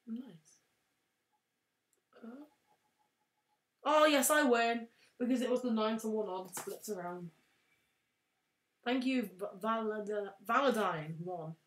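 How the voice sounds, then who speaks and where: A young woman casually commentating a game, excitable and prone to shouting at wins and losses. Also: medium pitch. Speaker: let's play host. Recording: roomy echo.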